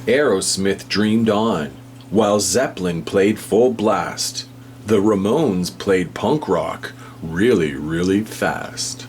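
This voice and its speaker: A man reads a picture book aloud for young children in a fairly low voice. His delivery is very slow, with deliberate phrasing. A faint hum and light noise sit behind him. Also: darkish tone. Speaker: storybook reader.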